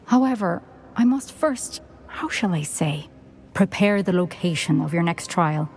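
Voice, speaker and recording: An actress playing a former headmistress portrait, crisp and dryly witty. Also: female voice, lowish voice, animated, unhurried, darkish tone. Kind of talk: video game dialogue.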